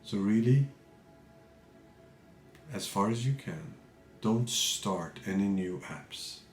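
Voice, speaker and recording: A man guiding a meditation as a teacher, calm and measured, speaking in short phrases with pauses between them. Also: lowish voice, roomy sound, soft background music. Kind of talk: guided meditation, unscripted spiritual talk.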